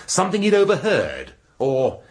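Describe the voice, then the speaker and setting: An actor playing a shrewd middle-aged English police inspector in a radio drama, speaking deliberately and rhetorically with dramatic pauses. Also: male voice, fairly high pitch, huge pitch swings. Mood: pressing, sardonic. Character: shrewd, theatrical male police inspector laying out a murder case.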